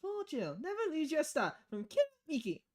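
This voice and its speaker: Woman putting on a high, bubbly young idol singer. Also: normal speed. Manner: chirpy, animated, theatrical. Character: bubbly perky young female idol singer, showy and eager.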